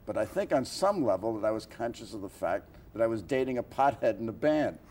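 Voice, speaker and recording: Older male talk-show interviewer, somewhat low voice, measured questions broken by frequent pauses. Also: expressive, darkish tone, slight background noise. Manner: halting, deliberate, frequent pauses. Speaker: interview host.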